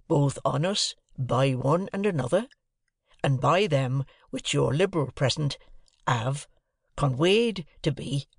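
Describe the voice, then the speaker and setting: A reader doing a slow, simple country blacksmith. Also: mid-range voice, gravelly, breathy edge, no room sound, studio quality, heavily acted. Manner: halting, laboured, rambling, mangled grammar.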